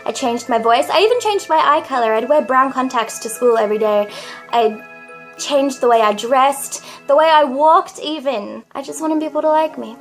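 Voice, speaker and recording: A young woman vlogging straight to camera in a very high, cute, girlish voice. She talks in a confessional, dramatic way and keeps poking fun at herself. Soft music plays faintly in the background. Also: moderate pace, low-quality recording. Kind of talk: confessional vlog monologue.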